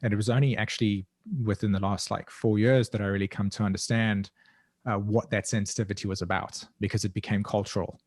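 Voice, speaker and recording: A man's voice: fairly low pitch, fairly even intonation, fairly quick, darkish tone, no room sound, clean studio sound. A man with a South African accent, speaking as an interview guest in a reflective, earnest, introspective way, often searching for his words. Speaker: guest, evolutionary astrologer.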